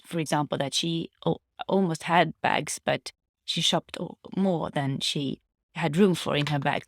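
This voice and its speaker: A woman with a low voice shares personal reflections in a casual monologue. Her delivery has plenty of vocal fry, and she often pauses to search for words or restate a phrase. The sound is dry and close, with no room echo. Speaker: vlogger sharing personal reflections.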